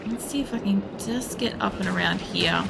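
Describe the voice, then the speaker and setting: A woman with a creaky voice, giving casual, rambling, sweary gameplay commentary while thinking aloud as she streams. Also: medium pitch, moderate pace, background noise. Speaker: game streamer.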